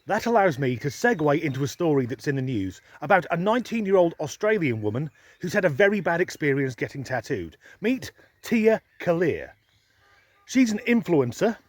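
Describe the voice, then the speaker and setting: A man's voice: a little high, no echo. An English man vlogging to a handheld camera, grumbling with dry, sweary humor. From England. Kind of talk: sardonic vlog monologue. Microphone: handheld camera filming himself.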